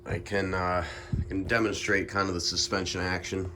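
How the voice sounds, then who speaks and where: A young man with a low-pitched voice, sniffly from the flu. He talks in a relaxed, explanatory way and fills his pauses with ums. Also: noisy background. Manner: relaxed, filler-heavy, explanatory. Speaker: bike vlogger. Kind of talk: casual bike check walkthrough.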